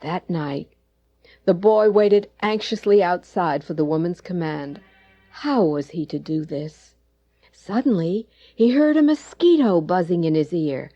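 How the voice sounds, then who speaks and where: A woman narrating a children's bedtime fable with gentle, deliberate, dramatic phrasing. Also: on the low side, animated. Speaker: storyteller. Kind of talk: expressive scripted bedtime-story narration. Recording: dull digitized cassette, music behind.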